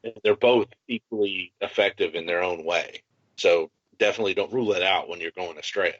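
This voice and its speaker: Male podcast co-host, low voice, enthusiastic gaming explainer, dry muffled recording. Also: brisk, dry recording. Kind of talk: casual tabletop gaming chat.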